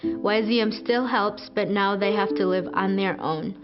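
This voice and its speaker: A teenage girl from Ethiopia, a student, narrating a scripted video in an earnest, measured way. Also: medium pitch. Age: teens. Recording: muffled, over guitar music.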